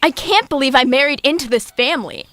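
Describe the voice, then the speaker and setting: A woman's voice: high voice, fast talker, bright, forceful tone, dry recording. A woman playing a sensible, put-upon young courtier in a comic stage-style audio drama, matter-of-fact and reproachful. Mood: incredulous.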